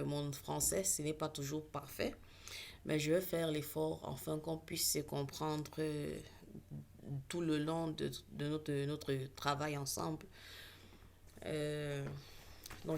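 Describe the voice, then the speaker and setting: A woman's voice: low voice, vocal fry, a little mellow, slight background noise. A woman who speaks English natively, teaching trading in a casual online lesson with many fillers and self-corrections.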